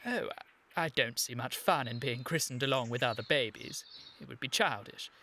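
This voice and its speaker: An actor playing an earnest, evasive young English gentleman in a radio comedy, formal and slightly flustered. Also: medium pitch, normal speed, a little hiss or hum. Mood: awkward, casual. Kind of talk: period stage comedy radio acting.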